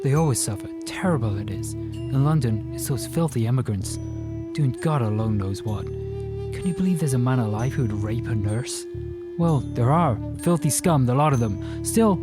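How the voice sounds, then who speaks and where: Guy doing a chatty boastful irish bloke in his 50s, audiobook character, loud n emphatic, bit menacing. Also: mid-range voice, very animated, normal speed, over loud music, natural voice. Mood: indignant, menacing.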